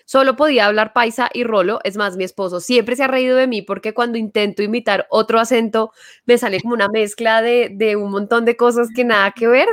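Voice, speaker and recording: A woman's voice: fairly high pitch, steady tone, brisk, no echo, clean studio sound. A Colombian woman, a podcast guest, chatting casually with lots of fillers. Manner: chatty, filler-heavy, self-deprecating. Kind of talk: casual chat.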